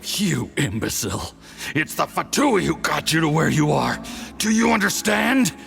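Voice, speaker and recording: An actor playing an arrogant, hard-drinking male official in a video game, with a gravelly voice and theatrical, halting delivery. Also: fairly high pitch, big pitch swings, a bit bright, soft background music, full character voice. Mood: angry, threatening. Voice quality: gravelly, creaky. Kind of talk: scripted video game drama acting.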